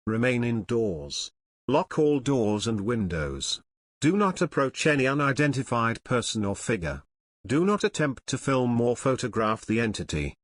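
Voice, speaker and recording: A man reading a scripted emergency announcement as a broadcast announcer in a formal, measured manner. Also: medium pitch, sing-song.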